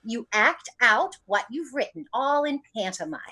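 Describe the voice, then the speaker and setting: Actress as society hostess, high-pitched, animated, fussy, coaxing, stammering, radio play. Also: female voice, normal speed, exaggerated voice. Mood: fussy, excited. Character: fussy, gushing society hostess herding party guests. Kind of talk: old-time radio play acting.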